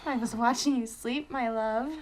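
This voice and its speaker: A woman's voice: a little high, fairly even intonation, normal speed, slight background noise, lightly acted. A woman playing a lovestruck, possessive and touchy female ghost in a comedy-drama web series. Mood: swooning.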